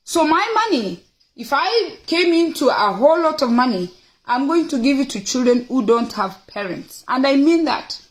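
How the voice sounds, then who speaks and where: Middle-aged female livestream host, Kenyan accent, high voice, opinionated and emphatic, informal address to viewers. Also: very animated, normal speed, poor audio. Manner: emphatic, repeats phrases for stress. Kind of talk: casual, opinionated talk to viewers, reading out questions.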